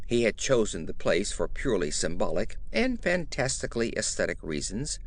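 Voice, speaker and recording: A man narrating a horror story as a dramatic audiobook, in a measured, literary storytelling manner. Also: lowish voice.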